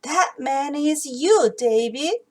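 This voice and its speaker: A woman's voice: high voice, lively intonation, extremely slow, heavily acted. A woman voicing a stern male prophet rebuking a king in a children's Bible story, solemn and emphatic. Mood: stern, accusing.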